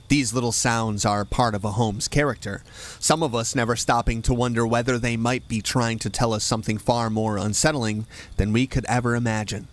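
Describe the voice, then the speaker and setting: A man hosting, reading a scripted campfire-style introduction with a measured storyteller delivery. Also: mid-range voice. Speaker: host.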